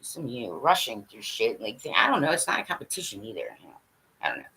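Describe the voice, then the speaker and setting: A woman's voice: rumbling low, big pitch swings, fast talker, pushed and bright, slightly rough, low-quality recording. A woman talking straight to her viewers in a scattered, blunt livestream monologue, swearing and laughing as she goes. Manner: addresses the audience directly, asks for agreement. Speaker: livestream host.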